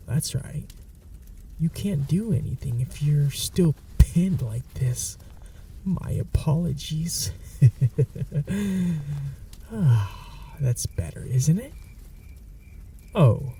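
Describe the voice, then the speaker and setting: A man's voice: on the high side, creaky, warm tone, distant mic, slight background noise, exaggerated voice. A young man voicing a smug, possessive male vampire in an ASMR roleplay, mocking and dropping into whispers.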